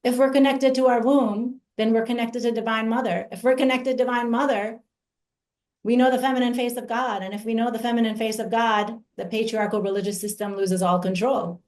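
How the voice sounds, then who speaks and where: A woman in her forties who grew up in Iran, giving an earnest personal testimony as an invited speaker, slow and deliberate with emphatic pauses. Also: on the high side, steady tone, darkish tone.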